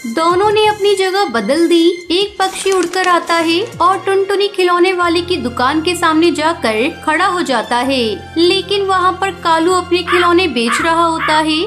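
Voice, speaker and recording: A woman's voice: very high-pitched, unhurried, fairly bright tone. A woman reading scripted narration for a children's cartoon story. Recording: light music behind the voice.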